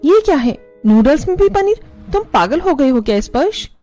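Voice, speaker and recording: High-voiced exasperated cartoon woman. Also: very animated, normal speed, mellow, faint music behind. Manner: exasperated, scolding. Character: irritated young bride fed up with paneer. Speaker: voice actor voicing several women. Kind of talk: cartoon dialogue.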